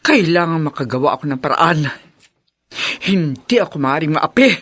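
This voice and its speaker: An actress playing a scheming, indignant middle-aged stepmother in a Filipino radio drama, theatrical and forceful. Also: low-pitched, expressive, moderate pace. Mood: outraged.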